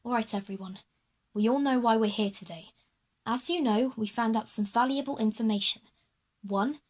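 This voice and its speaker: A woman's voice: mid-range voice, a little mellow, breathy edge, natural voice. A woman playing a practical schoolgirl who leads her classmates in a children's audio drama.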